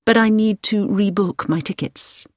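A woman's voice: medium pitch, unhurried, darkish tone, dry recording, clean studio sound, pitched above the performer's own voice, natural voice. A woman voicing a guarded young woman who answers carefully and politely in an audiobook.

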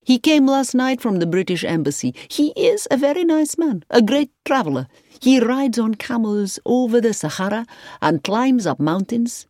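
A woman's voice: on the high side, expressive, normal speed, dark tone, dry recording, studio quality, pitched above the performer's own voice, close to an everyday voice. A woman voicing an exuberant, hospitable foreign hotelkeeper in a storybook reading, effusive and emphatic. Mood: jovial, effusive.

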